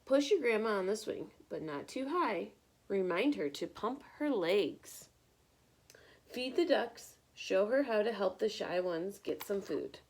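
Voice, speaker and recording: Woman, medium pitch, creaky voice, teacherly read-aloud, expressive, encouraging. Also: measured pace. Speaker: teacher reading a picture book aloud. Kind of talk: children's read-aloud with questions to listeners.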